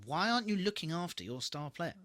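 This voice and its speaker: A man's voice: fairly high pitch, sing-song, fast, fairly bright tone, no room sound, studio quality. A British man co-hosting a casual TV re-watch podcast, talking informally and emphatically with plenty of swearing. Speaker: podcast co-host.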